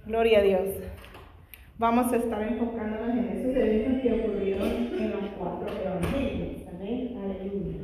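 A woman preaching a Bible study in Spanish, her second language, sprinkling in frequent hallelujahs and praises, fervent in prayer. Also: mid-range voice. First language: not Spanish. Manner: frequent "aleluya" and "gloria a Dios" interjections; fervent prayer, louder and faster. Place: large echoey church hall. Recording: echoey hall with long reverb.

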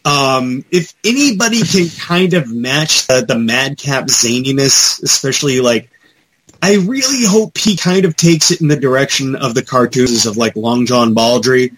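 A man co-hosting a podcast in casual chat about pop culture, talking in an animated, rambling, mildly profane fan style. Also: medium pitch, unhurried, husky, poor audio. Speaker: podcast co-host.

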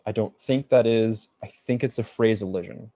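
Dark-voiced man analyzing music. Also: on the low side, normal speed, no echo, highs cut off. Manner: thinks aloud, hedges and restarts. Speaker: podcast co-host. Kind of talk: casual music analysis chat.

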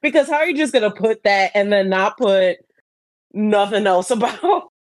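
A woman's voice: mid-range voice, normal speed, no room sound. A woman co-hosting a casual panel chat, speaking bluntly and opinionatedly with plenty of swearing. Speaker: podcast co-host.

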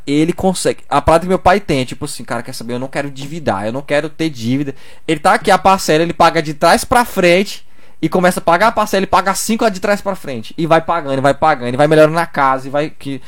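Fast-talking young man. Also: a little high. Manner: slangy, loose storytelling peppered with "cara". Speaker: podcast guest. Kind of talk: casual chat.

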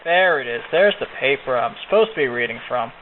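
A man making a prank phone call, asking absurd survey questions in a deadpan, mock-official way. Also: mid-range voice, fairly quick. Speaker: prank caller. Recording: phone-like sound, faint noise.

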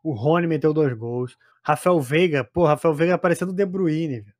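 A Brazilian man with a notably high-pitched voice talks football in a loose, slangy style. He is emphatic and repeats phrases to drive them home. The sound is dry, with very little room around it. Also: fairly quick, a little mellow, dry recording. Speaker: football podcast commentator. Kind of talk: casual football chat.

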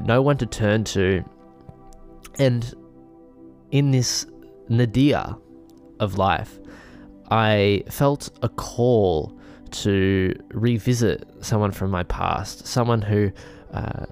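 A man hosting a comedic podcast, talking in a hesitant deadpan full of fillers and restarts, with a voice he calls shrill. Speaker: podcast host. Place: a friend's house.

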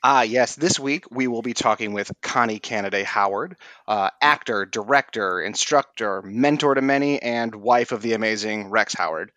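A man's voice: lowish voice, moderate pace, hardly any pauses, fairly bright tone, dry recording. A man co-hosting a podcast, laid-back and joking, with some hesitant fillers. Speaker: podcast co-host. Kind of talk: casual podcast chat.

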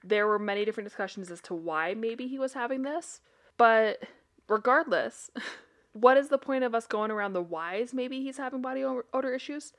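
A woman's voice: on the high side, moderate pace. A woman who is a licensed therapist and a podcast co-host, talking in a blunt, casual way with swearing and frequent laughter. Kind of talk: casual chat.

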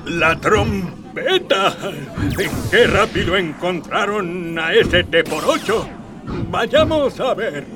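Capricious pompous emperor, comic radio play, high voice, slow haughty drawl, music underneath. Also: male voice, lively intonation, noisy background. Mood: haughty, indulgent. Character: capricious, self-serving pompous male emperor. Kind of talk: comic radio drama acting. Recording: music behind the voice.

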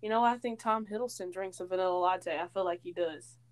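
A woman's voice: fairly low pitch, fairly quick. Teasing young woman podcast co-host. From Tennessee. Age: 20s. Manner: teasing, playful, giggly. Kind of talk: casual chat.

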